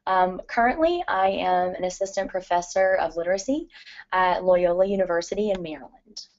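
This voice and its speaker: Thirties woman presenter, scratchy and earnest, filler-heavy delivery, slightly low voice, dull lo-fi sound. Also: moderate pace. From Louisiana. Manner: frequent ums, careful and earnest. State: scratchy voice from allergies. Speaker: literacy professor and adult educator. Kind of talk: presentation to educators. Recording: dull lo-fi recording.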